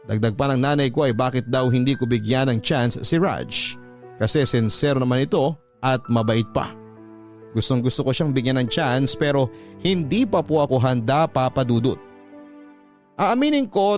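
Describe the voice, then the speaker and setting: A man with a Filipino accent reading a love letter in a dramatic, sentimental radio storytelling style. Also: mid-range voice. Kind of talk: scripted narration, radio storytelling. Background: music under the voice.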